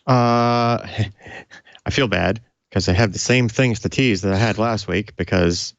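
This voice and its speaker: A man's voice: on the low side, slow pace, no echo, lo-fi sound. A man co-hosting a tech show, talking casually in informal, hesitant phrases. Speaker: tech show co-host, hardware reviewer. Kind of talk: casual tech podcast chat.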